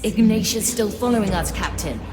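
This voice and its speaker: An actress playing the solemn, commanding spirit of a living ghost ship, speaking formally. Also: female voice, mid-range voice, expressive, a little fast, close to an everyday voice. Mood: determined. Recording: echoing, long reverb under music.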